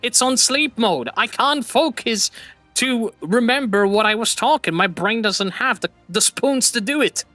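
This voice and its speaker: A man chatting on a live stream with a bright, forward voice, drifting between thoughts and laughing at his own slips. The sound is dry and close, with faint music underneath. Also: extremely high pitch, expressive, unhurried, dry recording. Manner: rambling, laughs often, self-mocking. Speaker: streamer host. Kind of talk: casual chat.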